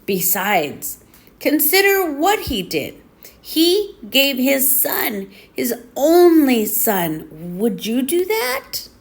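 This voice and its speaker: Slow-paced woman reading devotional text aloud. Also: high voice, very animated.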